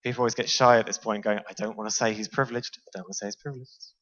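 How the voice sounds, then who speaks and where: A man's voice: fairly low pitch, fairly even intonation, very fast, a bit bright. British guy on stage at a conference, easygoing chatty lecture, bit muffled sound. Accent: British English. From Britain. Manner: easygoing, self-aware, asks the audience questions. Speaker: conference speaker. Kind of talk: conference talk to a live audience. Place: large lecture hall on a stage.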